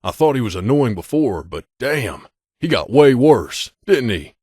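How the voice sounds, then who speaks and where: A man's voice: medium pitch, very animated, a little slow, a little mellow, breathy edge, dry recording, clean studio sound. A man playing a middle-aged mercenary bodyguard in a video game, a blunt and guarded loner who talks in a terse, matter-of-fact, aloof way. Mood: annoyed. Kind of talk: video game character dialogue.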